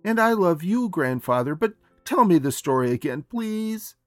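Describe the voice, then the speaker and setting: A man's voice: high voice, sing-song, normal speed, no echo, clean studio sound, soft background music, voice pushed up. A man voicing an anxious, loving young boy who pleads earnestly in a storybook read-aloud. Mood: pleading.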